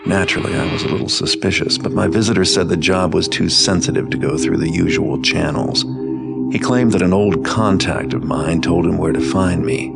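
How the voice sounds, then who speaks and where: A man playing a cynical, world-weary master thief in a video game, narrating in a deadpan, sardonic way. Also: low-pitched, gravelly. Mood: guarded, matter-of-fact. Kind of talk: scripted first-person game briefing narration. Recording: loud music under the voice. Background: music.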